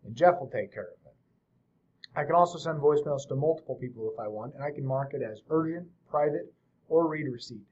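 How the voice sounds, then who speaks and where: A man hosting a software tutorial, explaining things step by step in an informal, casual way. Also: mid-range voice, fairly quick, lo-fi sound. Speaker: host of a software tutorial series. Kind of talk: casual screen-share tutorial narration.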